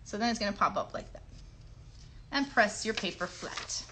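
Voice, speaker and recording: A woman's voice: mid-range voice, animated, moderate pace, bright, forceful tone, a little hiss or hum, low-quality recording. A woman hosting a children's craft show, giving simple step-by-step directions in an encouraging way and putting questions to the young viewers.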